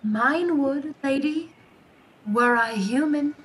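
A woman plays an airy spirit servant in a Shakespearean radio play. The voice is high and unhurried, deferential and gentle toward its mistress. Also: slight background noise. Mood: dutiful, tender. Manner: measured, deferential.

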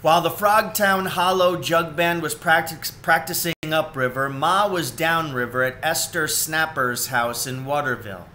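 Man, forties, reading a storybook aloud, slightly high bright voice, home webcam, faint background noise. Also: normal speed, hardly any pauses. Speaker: reader of a children's storybook. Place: room at home, indoors.

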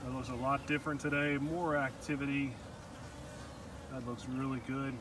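Calm man narrating outdoors among beehives. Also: mid-range voice, moderate pace. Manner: calm, matter-of-fact commentary. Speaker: beekeeper. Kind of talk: casual narration while filming. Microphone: handheld phone camera. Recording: outdoor phone recording with steady background noise.

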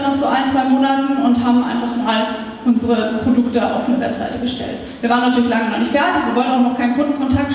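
A high-pitched woman with a light lilt speaks into a handheld microphone on a meetup stage. She talks in a lively, chatty way, full of little filler sounds. The recording is taken from across a large hall, so her voice rings with heavy echo and a long tail. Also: a little hiss or hum. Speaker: startup founder giving a talk. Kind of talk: conference talk. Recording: echoey hall, taped from far off.

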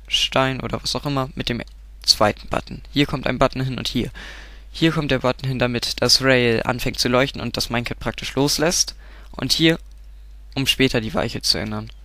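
A man with a stuffy, cold-clogged voice talks through a game tutorial at a desk mic. His tone is bright and forward, the sound dry and close, with keyboard clicks behind him. He rambles, fills pauses and keeps correcting himself. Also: mid-range voice, no echo. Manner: rambling, self-correcting, frequent filler sounds. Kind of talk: casual gameplay tutorial.

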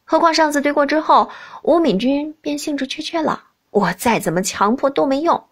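High-voiced expressive female audiobook narrator. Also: normal speed. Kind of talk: expressive first-person scripted novel narration.

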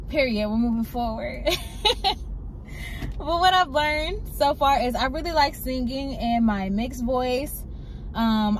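A woman's voice: fairly high pitch, poor audio. A woman in her thirties, vlogging casually from a parked car into a phone camera on the dashboard, chatty and upbeat, repeating phrases for emphasis. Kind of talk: casual vlog chat. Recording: clear car and street noise. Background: car and street noise.

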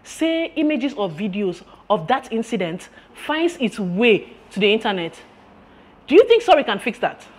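An actress playing a stern, unyielding restaurant boss with a Nigerian accent, scolding emphatically in a screen drama. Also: female voice, medium pitch, sing-song, unhurried, few pauses. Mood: angry scolding. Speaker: restaurant manager.

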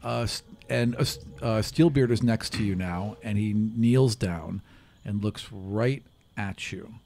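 A middle-aged man improvising narration as a tabletop game master, pausing often mid-sentence. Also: on the low side, creaky, a little mellow, a little hiss or hum. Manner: halting, many pauses mid-sentence. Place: small room, around a table. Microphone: individual table microphone, close.

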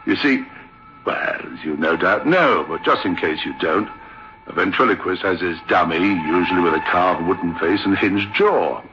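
A man's voice: sits low, normal speed, over music, close to an everyday voice. An actor playing an affable, sceptical theatre manager, chatty and hesitant, telling his tale in old-time radio drama style. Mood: incredulous, chatty. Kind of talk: old-time radio drama, conversational first-person storytelling. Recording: dull, lo-fi old radio recording.

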